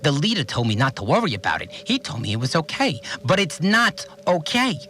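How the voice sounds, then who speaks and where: An actor playing an anxious, obsessive cleaner who fretfully recounts events in short, plain sentences in an audio drama monologue. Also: male voice, on the high side, very animated, a little fast, fairly bright tone. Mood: insistent, agitated. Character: anxious, obsessive male cleaner confined in a mysterious place. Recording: music bed under the voice.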